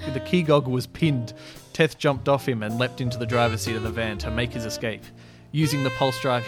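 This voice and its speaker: Male podcast host, mid pitch, self-amused amateur storyteller with a mock-dramatic streak, music bed underneath. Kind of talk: reading his own sci-fi story, casual chat.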